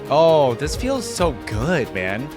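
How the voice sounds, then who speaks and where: A man's voice: fairly high pitch, huge pitch swings, takes it slowly, over music. A man hosting a reaction video with casual commentary, speaking informally and enthusiastically with frequent asides. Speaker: YouTube reaction host.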